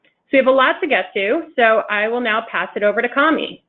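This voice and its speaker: A woman's voice: a little high, lively intonation, a little fast. Woman host reading a tinny intro. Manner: polished, reading from a script. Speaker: webinar host. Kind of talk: scripted introduction. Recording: tinny phone-like web call audio.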